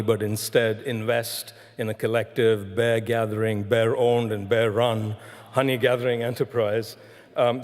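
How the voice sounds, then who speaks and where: A man giving a graduation speech in a measured storytelling manner, recorded in a large hall. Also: fairly low pitch, darkish tone. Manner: measured, storytelling delivery with deliberate repetition. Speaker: graduation ceremony speaker. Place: large concert hall, live ceremony. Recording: echoing large concert hall.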